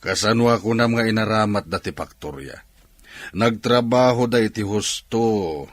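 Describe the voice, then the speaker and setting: A man's voice: fairly low pitch, normal speed, dry recording, close to an everyday voice. A man playing an earnest factory man in an Ilocano radio drama, speaking emphatically in defence of his workers. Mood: earnest, insistent. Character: appreciative factory man, firmly defending his hardworking employees.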